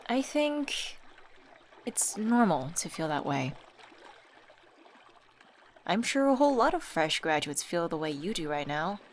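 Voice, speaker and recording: A young woman , acted by a voice actress, speaks in a slightly low register as a supportive childhood friend. She is casual and intimate, comforting with a light teasing edge, in the manner of a scripted ASMR audio drama. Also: sing-song, unhurried, long pauses, slight background noise. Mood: reassuring. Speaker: voice actor. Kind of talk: scripted ASMR roleplay, intimate conversational acting.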